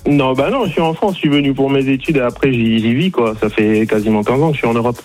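A man's voice: medium pitch, quick pace, a little mellow. Casting: male caller in his thirties from Togo, mid-range voice, polite, unassuming chat, faint music behind. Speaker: radio phone-in caller. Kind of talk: casual chat.

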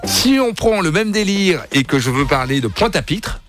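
A man's voice: sits high, very animated, moderate pace, fairly bright tone, faint music behind. A man hosting a morning radio show, joking and playing it up theatrically. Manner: playful, theatrical delivery. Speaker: radio host.

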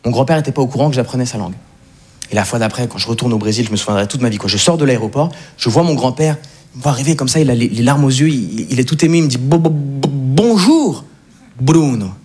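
A young man from Switzerland telling self-mocking stories as an animated, conversational stand-up comedian on a live stage. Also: mid-range voice, rapid-fire. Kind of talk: stand-up storytelling to a live audience.

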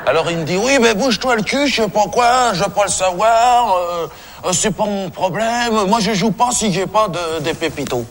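A man's voice: sits high, lively intonation, moderate pace. A man playing a simple, grumbling stagehand in a comedy sketch, rambling hesitantly in crude, colloquial speech with many repetitions. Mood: rambling complaint. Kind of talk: improvised-sounding comic character dialogue.

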